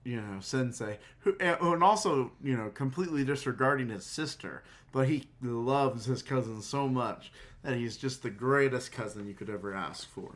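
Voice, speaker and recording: A man co-hosting a fan podcast, talking casually and rambling with lots of fillers and quick laughs. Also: mid-range voice, a bit bright. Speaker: podcast co-host.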